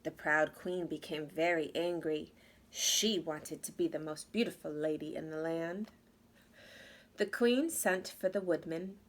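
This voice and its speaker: A woman's voice: deep voice, a little slow, slight background noise. A woman reading a children's story aloud expressively, as if to young listeners. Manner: addresses young listeners with questions. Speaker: storybook reader.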